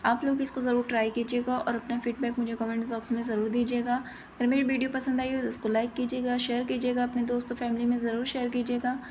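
Lady doing a home cooking voiceover, flat delivery, kinda high voice, noticeable background noise. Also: quick pace. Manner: instructional, plain-spoken. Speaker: cooking channel host. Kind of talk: step-by-step recipe voice-over narration.

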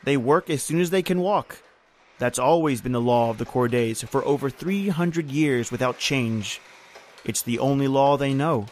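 A man acts out a grim overseer in an old-time radio horror tale. His speech is folksy and bitter, with a taunting edge, and sits at an ordinary male pitch. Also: normal speed, lightly acted. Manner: folksy, bitter, uses "aye" and "ain't". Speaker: actor in audio horror drama.

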